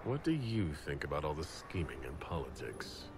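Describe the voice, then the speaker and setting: A man's voice: extremely low pitch, very animated, normal speed, noisy background, exaggerated voice. A man playing a composed commander in a video game, direct, questioning and authoritative. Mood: calm, probing. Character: composed, probing male commander of an inquisition. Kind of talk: video game dialogue acting.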